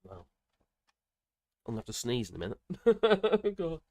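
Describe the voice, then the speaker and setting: An older man with grandchildren, hosting a casual live stream, hoarse from a cold and chatting in a rambling way with many fillers and restarts. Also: on the high side, wildly swooping pitch, moderate pace, a little mellow. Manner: rambling, chatty, with frequent fillers and self-corrections.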